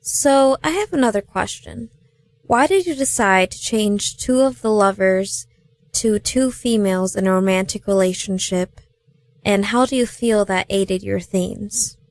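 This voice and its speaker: Casting: female interviewer, agreeable and conversational, mid-pitched voice recorded very dry. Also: unhurried, dry recording. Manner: agreeable, frequent affirmations. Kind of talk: casual interview.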